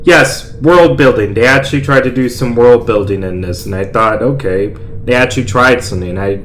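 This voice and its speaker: A young man reviewing a show in a casual, excitable and rambling way, his voice going hoarse. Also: mid-range voice, normal speed, a little hiss or hum.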